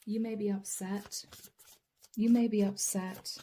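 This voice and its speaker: A woman doing a channeled tarot reading straight to the viewer, earnest and apologetic, her voice going hoarse. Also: mid-range voice, little pitch movement, a little slow, dark tone. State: losing her voice. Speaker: tarot reader.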